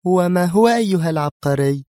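A man voicing a nervy, scornful young woman intelligence agent in an audio drama, with a sharp, sarcastic manner. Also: sits high, fairly quick, no room sound, clean studio sound, pitched above the performer's own voice, lightly acted. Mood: irritated, sarcastic.

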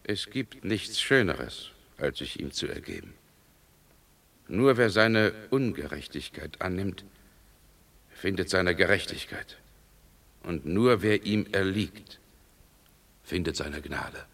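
A man's voice: low voice, lively intonation, slow pace, long pauses, fairly bright tone, exaggerated voice. An actor playing a resigned condemned man in a 1960s German radio play, submitting with solemn, formal diction. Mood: devout surrender.

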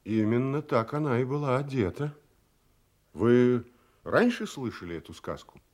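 A man's voice: medium pitch, big pitch swings, normal speed, a little mellow. An actor playing a fatherly seafarer who tells an old sea legend, theatrical, in an audio drama. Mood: mysterious.

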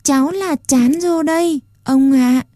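Woman doing a high, tearful wooden doll boy. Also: measured pace, mellow, no room sound, clean studio sound. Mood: pleading. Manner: pleading, sobbing, then shrill and defiant.

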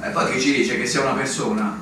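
A man's voice: mid-range voice, steady tone, quick pace, noisy background, lightly acted. A male actor playing a brooding, fatalistic fortress officer in a poetic radio drama, ominous and declamatory in delivery. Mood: dismissive. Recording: very echoey, distant stage recording under music.

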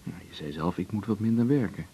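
Guy acting deep-voiced calm husband in a radio play, practical, mellow, bit of hiss. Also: quick pace, close to an everyday voice. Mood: thoughtful. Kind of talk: naturalistic radio drama conversation. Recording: muffled, noisy radio recording.

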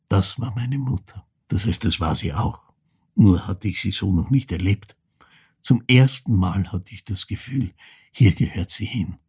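An elderly, brooding man with a deep, very husky voice. He recalls his late mother in short, hesitant, reflective phrases. It is a quiet radio play monologue with a worn, breathy grain. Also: heavily acted. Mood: quietly reflective. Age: older. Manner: halting, hushed, reflective storytelling in short phrases.

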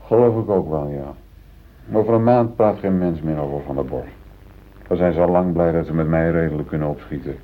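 A man's voice: a bass voice, brisk. An actor in a Dutch radio play, playing a newly promoted, uneasy middle-aged foreman who earnestly justifies himself. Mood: brooding. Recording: muffled phone-like old radio recording.

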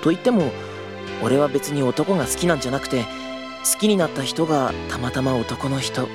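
A man's voice: medium pitch, lively intonation, normal speed, close to an everyday voice. Man acting a flustered, earnest young junior employee, music behind. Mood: tender, reflective. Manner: polite, easily flustered, stammering. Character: flustered, earnest young male junior travel agent in love. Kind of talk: Japanese audio drama acting with intimate narration.

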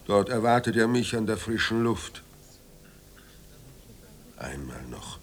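A man's voice: lowish voice, very animated, slow pace, full character voice. An actor in a radio drama playing a weary, ironic, hard-drinking male writer, theatrical and wryly courteous. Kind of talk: German radio drama acting.

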